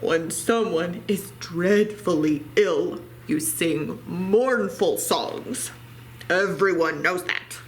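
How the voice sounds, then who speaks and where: A woman's voice: fairly low pitch, slow pace, slight background noise, voice pushed down, poor audio. A woman voicing a grumpy, self-pitying male bear who is sick, complaining theatrically in a children's storybook reading.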